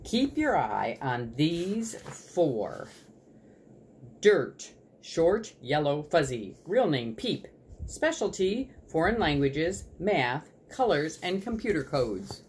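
Woman as a slow, wry old working dog. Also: low voice, sing-song, a little mellow, a little hiss or hum, exaggerated voice. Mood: clipped roll call. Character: retired search-and-rescue dog, weary, wry narrator.

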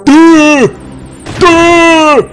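A man's voice: very high-pitched, flat delivery, very slow, a bit bright, soft background music, voice pushed up, exaggerated voice. A man voicing a dim-witted, goofy cartoon tree, blurting dumbly. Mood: dopey. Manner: dumb, blurting.

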